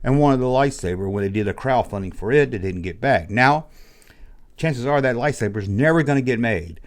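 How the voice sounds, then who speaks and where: A man hosting a toy collecting channel, ranting bluntly in a casual, exasperated way, his voice hoarse from being sick. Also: mid-range voice, expressive, fairly quick. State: hoarse, losing his voice after illness. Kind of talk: casual opinion rant to camera.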